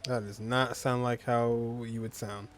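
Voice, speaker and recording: A male gaming streamer chats casually as he plays. His voice is mid-pitched and conversational. Game music runs behind him. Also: flat delivery, unhurried. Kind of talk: casual playthrough commentary.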